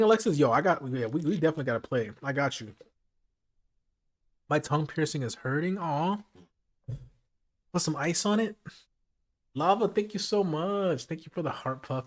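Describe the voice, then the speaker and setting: A man with a fairly high voice chats easily with his live-stream viewers late at night. His voice is hoarse and a little raspy after hours of nonstop talking. He keeps his tone casual and talks straight to the people watching. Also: animated, a little fast.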